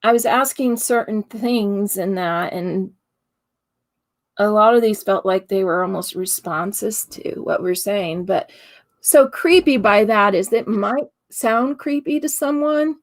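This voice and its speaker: A woman with a raspy voice co-hosting a casual paranormal investigation chat, laughing easily as she talks. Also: medium pitch, slow.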